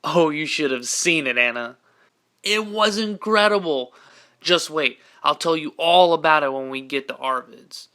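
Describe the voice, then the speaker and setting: A man's voice: fairly high pitch, a little slow, sharp tone. A man playing an earnest teenage boy in an amateur audio drama. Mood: excited. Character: earnest teenage boy, a swing kid forced into uniform.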